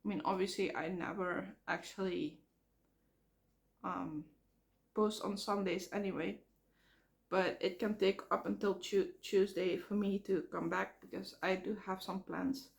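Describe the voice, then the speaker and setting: A woman vlogging outdoors by a road, talking frankly in a halting way while she comes down with a cold and her voice cracks. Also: mid-range voice, steady tone, gravelly. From Netherlands. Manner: frank, halting, repeats words. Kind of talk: casual rambling vlog. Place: outdoors near traffic.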